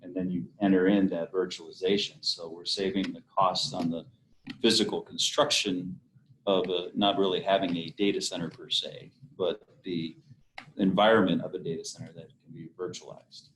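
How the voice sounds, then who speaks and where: A man's voice: deep voice, little pitch movement, normal speed, fairly bright tone, a little hiss or hum, poor audio. A man leading a casual meeting discussion, hesitant with many fillers. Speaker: meeting facilitator.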